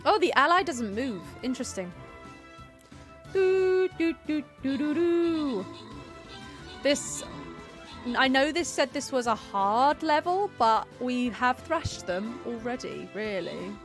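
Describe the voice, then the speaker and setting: A woman's voice: sits high, sing-song, takes it slowly. A woman giving casual, chatty livestream commentary on a video game, playful and given to sing-song exclamations. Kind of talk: casual gaming stream commentary. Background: faint music.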